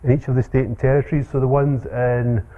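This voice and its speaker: A man with a Scottish accent giving a relaxed academic seminar talk with frequent ums and uhs. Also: mid-range voice, fairly quick. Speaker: lecturer. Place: seminar room. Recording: tinny phone-like sound.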